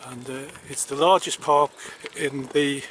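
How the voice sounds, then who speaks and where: Male vlogger, rambling and polite, outdoors on a partly taped camera mic with clear street noise. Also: medium pitch, fairly even intonation, measured pace, a bit bright. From Wales. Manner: rambling, many hesitations, chatty and polite. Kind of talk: casual vlog narration. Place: outdoors by a road and in a country park. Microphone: handheld camera mic, partly taped over. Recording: outdoor camera audio with traffic and wind noise.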